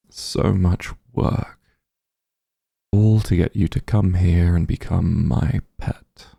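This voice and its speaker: Man playing a deep gravelly, doting demon lord. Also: steady tone, no echo, studio quality, heavily acted. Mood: fond, smug. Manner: slow, soft, teasing coaxing. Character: possessive, doting immortal demon lord, teasing and smug. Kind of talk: intimate ASMR roleplay acting.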